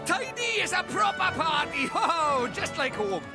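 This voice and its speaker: An actor with a Welsh accent voicing a cheeky, excitable little male fairy sidekick in an animated fantasy game. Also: very high-pitched, moderate pace, over loud music. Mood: jolly, cheeky.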